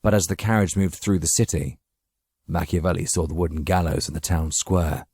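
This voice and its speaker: A man's voice: deep voice, steady tone, a little fast, a little mellow, husky, dry recording, studio quality. A man narrating a dramatic story from a script as an audiobook reader.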